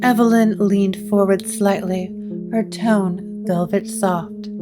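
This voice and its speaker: A woman giving measured, atmospheric scripted narration for a gothic story. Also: medium pitch, fairly even intonation. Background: plucked guitar music.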